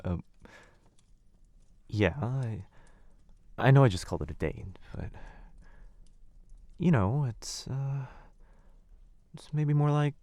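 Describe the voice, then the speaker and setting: A man playing a kind, flustered young wizard in an intimate boyfriend ASMR roleplay, speaking softly and gently with some hesitation. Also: mid-range voice, animated, takes it slowly, long pauses, no room sound. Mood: flustered, awkward.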